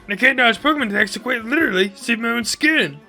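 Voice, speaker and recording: Very high, creaky bumbling professor. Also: male voice, animated, fast, pushed and bright, faint music behind, heavily acted. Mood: flustered. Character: clumsy, self-deprecating but earnest monster-research professor. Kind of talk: fan-made game character voice acting.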